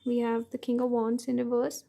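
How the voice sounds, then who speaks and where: A woman giving a pick-a-card tarot reading, her voice hoarse and strained from many readings, talking through her impressions at an unhurried pace. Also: fairly high pitch, monotone, warm tone. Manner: thinking aloud, unhurried. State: hoarse, tired voice. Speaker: tarot reader.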